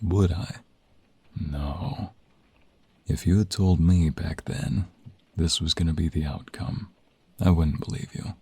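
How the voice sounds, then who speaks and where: Low, husky, smitten mobster husband acted by a man. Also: warm tone, full character voice. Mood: warm, reflective. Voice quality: breathy, husky. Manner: intimate, drawn-out, playful delivery with sighs and murmurs. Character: affectionate, teasing young father and mobster husband, smitten romantic. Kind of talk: ASMR romantic roleplay acting.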